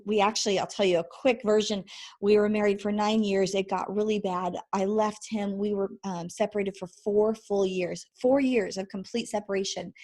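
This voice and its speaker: Croaky-voiced chatty woman in her forties. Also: mid-range voice, a little mellow. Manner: chatty, laughs often, goes off on tangents. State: hoarse, croaky from screaming. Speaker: vlog host and ministry founder. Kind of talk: casual faith-based parenting talk to camera. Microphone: microphone she sometimes bumps.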